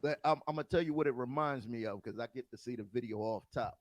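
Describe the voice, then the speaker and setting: Chatty American DJ host, dry sound. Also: male voice, medium pitch, fast talker, no echo. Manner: storytelling, stumbling restarts, laughs often. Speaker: DJ and podcast host. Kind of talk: casual interview chat.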